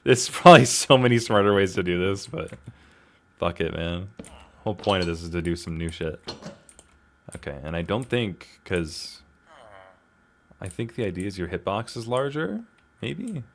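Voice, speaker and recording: A man talks his way through a game. His delivery is casual and slangy, with self-deprecating asides and exclamations, as if thinking out loud for his viewers. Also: low-pitched, expressive, moderate pace, vocal fry, long pauses, a little hiss or hum. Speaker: gaming video host. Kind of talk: casual gameplay commentary, talking to himself.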